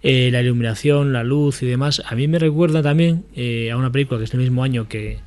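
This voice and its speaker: A man with a Spain accent talks in a medium-pitched voice. He is a film panelist offering casual analysis, feeling his way through each thought with halting, thoughtful phrasing. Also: a little mellow.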